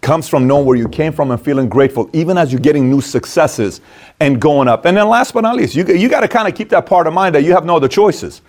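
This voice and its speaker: A man with a slightly high voice delivers a motivational business talk. He speaks conversationally but with emphasis, breaking points into short punchy phrases. He often poses questions and then answers them himself. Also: expressive, quick pace, a little mellow.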